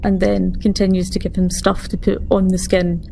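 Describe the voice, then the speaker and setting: A woman's voice: lowish voice, level tone, normal speed, vocal fry, dark tone, slight background noise. A woman playing a tabletop game, casually describing what her character thinks and does.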